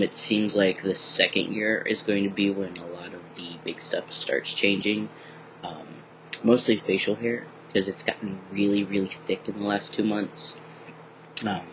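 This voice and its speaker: A young man vlogging in a bright room at home, rambling casually with lots of ums, a little groggy from just waking up. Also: low voice, background noise. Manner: rambling, many ums, relaxed and candid. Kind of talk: casual personal video diary. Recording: dull lo-fi webcam audio.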